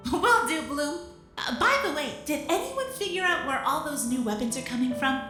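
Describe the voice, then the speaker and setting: A woman's voice: high voice, animated, normal speed, bright, forceful tone. A woman playing an eager, cheerful pilot inside a robot suit in an amateur audio drama, upbeat and chuckling. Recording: echoey, music underneath.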